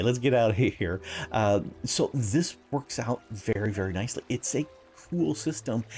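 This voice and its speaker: Raspy-voiced man, low and upbeat. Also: a little mellow. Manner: upbeat, chuckles often, rambling explanations. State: early-morning voice. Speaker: gameplay video host. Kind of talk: casual gameplay commentary.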